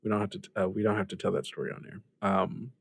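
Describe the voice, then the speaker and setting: A man's voice: sits low, flat delivery, fast talker, gravelly, breathy edge, exaggerated voice. A man playing an apologetic student radio host, stammering and placating in a casual improvised audio drama. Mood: shaken, hesitant.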